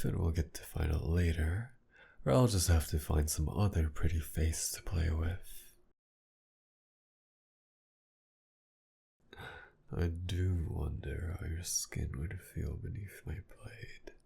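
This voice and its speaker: A man playing a sadistic, possessive, flirtatious villain in an intimate ASMR roleplay, taunting in a breathy, teasing drawl. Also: steady tone, a little slow, dark tone, heavily acted. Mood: hungry, menacing. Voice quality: low, gravelly, breathy.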